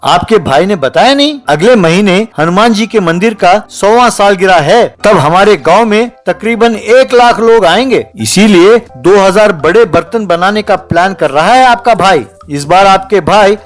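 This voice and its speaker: A man's voice: high-pitched, animated, measured pace, hardly any pauses, soft background music. A man voicing a friendly, chatty village digger driver in a comic story, explaining things in an impressed way. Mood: informative.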